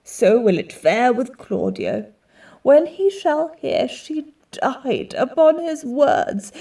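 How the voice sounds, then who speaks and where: A slow, solemn, counselling friar. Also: female voice, fairly high pitch, creaky, darkish tone, heavily acted. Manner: measured, solemn, persuasive. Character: calm, scheming, compassionate female friar giving counsel. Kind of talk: Shakespearean radio drama acting. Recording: home recording, slightly distant mic.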